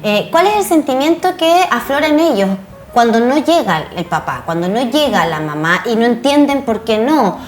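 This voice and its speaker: A woman's voice: on the high side, sing-song, normal speed. A Chilean woman hosting a conversational studio interview, speaking earnestly and reflectively and posing rhetorical questions. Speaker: TV interview host.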